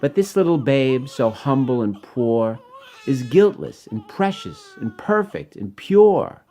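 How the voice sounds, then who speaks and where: A man voicing a lonely, grumpy old ox softening into humility in a rhyming storybook. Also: medium pitch, big pitch swings, slow. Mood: sad, tearful.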